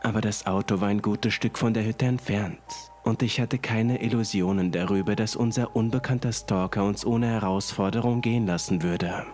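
Guy reading creepypasta, lowish voice, slow suspense, music and rain underneath. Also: steady tone, darkish tone. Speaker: horror story narrator. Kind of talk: scripted first-person horror narration.

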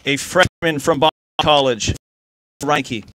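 A young man at an outdoor podium microphone, reading out award winners in a steady, formal way. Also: a little high, unhurried. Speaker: awards presenter. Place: outdoor stage by a river.

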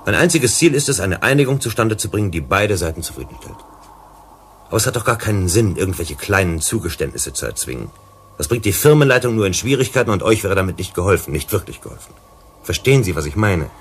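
An actor playing an earnest, persuasive psychologist in a German sci-fi radio drama, explaining and pleading. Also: male voice, low voice, very animated, fast, a little hiss or hum. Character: earnest, persuasive male company psychologist and negotiator.

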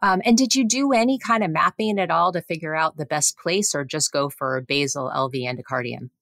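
Very low-voiced woman hosting an interview. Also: a little fast, gravelly, fairly bright tone, no echo, clean studio sound. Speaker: podcast host, physician. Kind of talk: conversational professional interview.

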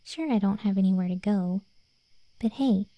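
A woman voicing a cocky, cheeky undead cat girl in a soft, breathy, slangy ASMR roleplay. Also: medium pitch, moderate pace, no room sound, dull audio, natural voice. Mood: upbeat consoling. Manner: soft and breathy, often near whispering, slangy, teasing.

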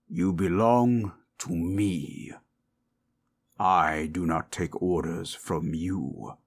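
A man voicing a proud, possessive forest monster in human shape, gravelly and husky, speaking in stilted, imperious phrases for an audio roleplay. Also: sits low, fairly bright tone, full character voice. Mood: possessive, commanding. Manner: slow, imperious, formal. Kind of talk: dramatic audio roleplay, stilted formal speech.

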